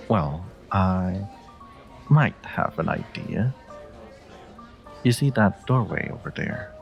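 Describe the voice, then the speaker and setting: Man playing a deep-voiced, flirty charming stranger. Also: lively intonation, vocal fry, lo-fi sound, soft background music, full character voice. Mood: inviting. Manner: teasing, hesitant pauses, frequent soft chuckles. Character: charming flirty male stranger at a party, teasing and suave. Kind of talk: intimate roleplay audio acting.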